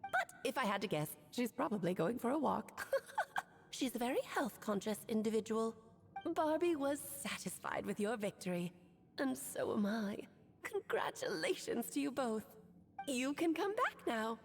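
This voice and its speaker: A doting, lighthearted witch from a video game. Her voice is fairly high and very lively in pitch, teasing and affectionate, over faint music. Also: moderate pace. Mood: playful. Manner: lilting, affectionate, playful.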